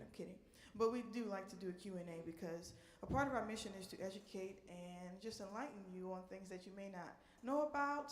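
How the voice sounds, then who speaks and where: An American woman hosting a live question-and-answer session as a singer, talking casually to an audience. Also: mid-range voice. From New Orleans, USA. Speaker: singer and park ranger hosting a concert Q&A. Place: indoor museum performance space. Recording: echoey room, live event microphone.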